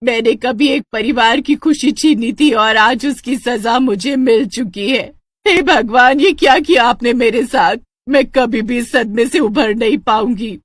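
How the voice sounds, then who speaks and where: A woman's voice: a little high, measured pace, no echo, clean studio sound. A woman voicing an anxious village wife and mother in a cartoon melodrama, with a lamenting, melodramatic manner. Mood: grief-stricken.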